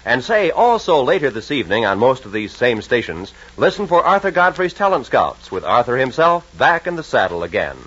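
Husky, animated male vintage radio announcer. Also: medium pitch, fairly quick, few pauses. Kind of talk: old-time network radio announcing. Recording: dull vintage radio recording.

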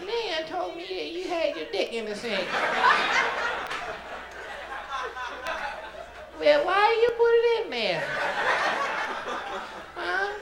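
A man's voice: extremely high pitch, big pitch swings, a little slow, vocal fry, voice pushed up, full character voice. A man voicing a fussy, bewildered old grandmother who repeats her questions and scolds, in a stand-up routine. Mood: bewildered scolding. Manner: repetitive, querulous questioning. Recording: echoey live stage, loud crowd, noisy.

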